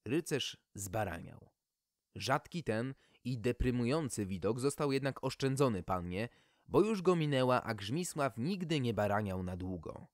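A man's voice: medium pitch, sing-song, a little slow, dry recording, studio quality. A man reading a scripted fairy tale expressively, as an audiobook storyteller.

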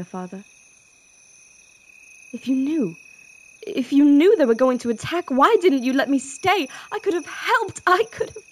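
A woman's voice: sits high, a little hiss or hum. A woman playing a grieving young princess in an audio drama, wistful and introspective, longing for her father. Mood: anguished. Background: music.

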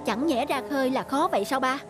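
A woman's voice: sits high, brisk, music in the background, close to an everyday voice. A woman voicing an earnest, respectful young man, a devoted fisherman's son in a cartoon folk tale. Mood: uneasy.

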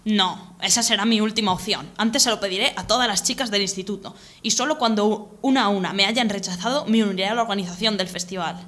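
A woman's voice: mid-range voice, fast talker, few pauses, fairly bright tone, close to an everyday voice. A woman voicing an eager, nerdy teenage boy in a radio serial, with an accent from Spain. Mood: dejected. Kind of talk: Spanish radio serial acting.

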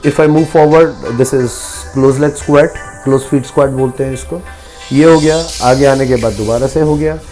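A man's voice: fairly high pitch, mellow. An Indian man in his thirties, a gym equipment seller, walking through machines in a large warehouse gym and mixing Hindi and English. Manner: mixes Hindi and English, repeats phrases for emphasis. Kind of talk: product walkthrough demo.

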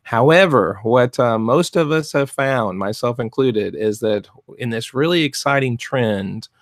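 Middle-aged man, soft-spoken coach, gravelly edges, rambling with fillers, dry close desk mic. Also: mid-range voice, measured pace, no echo. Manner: rambling, many fillers and restarts. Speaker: fluid art business coach. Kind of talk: casual live-stream talk. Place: cluttered home art studio, indoors. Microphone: desk mic in front of webcam.

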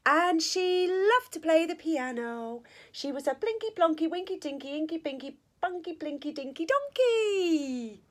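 A middle-aged woman reading a rhyming children's picture book aloud with an expressive, sing-song delivery. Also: very high-pitched, unhurried. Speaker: school staff storyteller. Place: small school office or staff room, indoors. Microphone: phone or tablet at arm's length.

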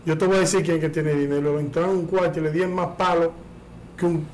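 A man's voice: fairly high pitch, steady tone, fast, darkish tone, slight background noise, rough recording. A man on a studio talk panel, arguing insistently against another panelist. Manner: insistent, argumentative. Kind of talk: studio debate.

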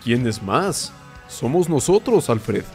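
A man playing a flippant, self-important superhero with an insistent manner in an animated fan film. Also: mid-range voice, slow, soft background music. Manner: insistent, boastful.

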